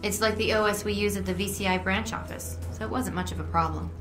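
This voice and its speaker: A woman playing a composed, caring, quietly flirty colleague of an agent in a video game, speaking calmly. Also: mid-range voice, fast talker, lightly acted. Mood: composed, teasing. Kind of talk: video game cutscene dialogue. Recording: roomy sound with clear music underneath.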